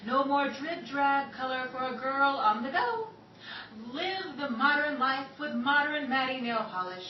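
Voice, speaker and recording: A woman's voice: sits high, animated, unhurried, lo-fi sound. A woman announcer reading scripted narration in the style of vintage 1930s radio. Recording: roomy hall, distant mic, audience noise.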